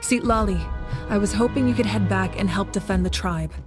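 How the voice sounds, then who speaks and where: A woman playing a resolute young female leader in an anime game, speaking in a measured, authoritative way. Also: medium pitch, close to an everyday voice. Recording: music under the voice. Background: game music.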